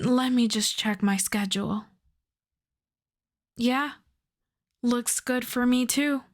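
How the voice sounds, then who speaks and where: A woman playing a suave, self-assured female supervillain in a roleplay audio drama, flirting and teasing in an unhurried way. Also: medium pitch, close to an everyday voice. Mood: businesslike, teasing. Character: suave flirtatious female supervillain courting her costume maker.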